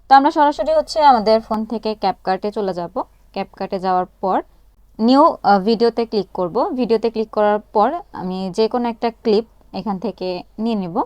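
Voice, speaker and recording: A woman narrates a casual how-to in an average-pitched voice. She explains one step at a time and pauses as she goes. The sound is dry, with no room echo. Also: darkish tone. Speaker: tutorial presenter. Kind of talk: casual step-by-step phone app tutorial.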